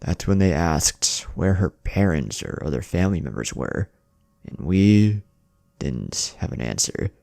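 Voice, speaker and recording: A man narrating a first-person horror story as a haunted, lovestruck teenage boy, brooding and halting with dramatic pauses. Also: low voice, lively intonation, vocal fry, a little mellow, no echo, clean studio sound. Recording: music behind the voice.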